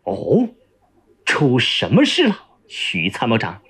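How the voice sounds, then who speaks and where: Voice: mid-range voice, wildly swooping pitch, slow, a bit bright, breathy edge, pitched below the performer's own voice, heavily acted. A storyteller voicing a stern male revolutionary leader who questions and rebukes with authority. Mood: concerned.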